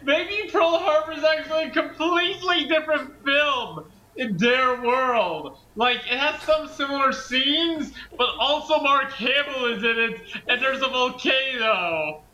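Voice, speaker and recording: A man's voice: very high voice, measured pace, bright, forceful tone. A man casually speculating and joking as a commentator, in a roomy, echoing recording.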